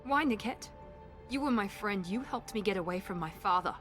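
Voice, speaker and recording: A woman's voice: mid-range voice, lively intonation, moderate pace, fairly bright tone, faint music behind, close to an everyday voice. An actress voicing a proud, guarded woman who is fiercely protective of her sister, speaking with controlled intensity in a video game. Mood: hurt, angry.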